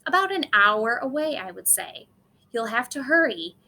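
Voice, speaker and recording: Woman voicing a bright, steady old farm grandmother. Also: fairly high pitch, normal speed, full character voice. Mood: brisk, urging. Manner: encouraging, emphatic.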